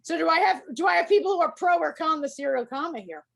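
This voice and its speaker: Woman host, high voice, upbeat, casual chat. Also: lively intonation, fast talker, gravelly.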